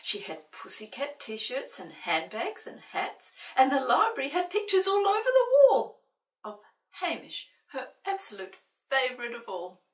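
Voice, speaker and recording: A woman reading a children's picture book aloud in an expressive way, with playful, dramatic asides to young listeners. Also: high-pitched, a little slow. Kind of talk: expressive children's storybook narration. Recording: roomy, slightly distant microphone.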